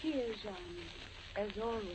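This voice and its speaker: A woman playing the tender, ghostly beloved of a prisoner in a radio horror drama, with gentle theatrical phrasing. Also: a little high, unhurried, slightly rough. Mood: sad, gentle. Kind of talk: old-fashioned radio horror drama acting. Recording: dull lo-fi radio recording, faint noise.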